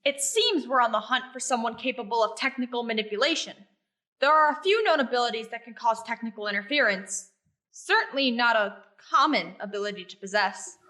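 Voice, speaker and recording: A woman's voice: fairly high pitch, expressive, brisk, bright, forceful tone. A woman playing a studious, earnest and composed young male researcher in an audio drama. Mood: earnest, explaining.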